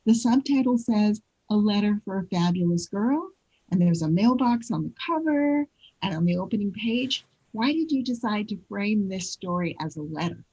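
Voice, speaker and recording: A woman's voice: medium pitch, lively intonation, normal speed, warm tone, slightly rough, lo-fi sound. A woman interviewer asking prepared questions in the manner of a host.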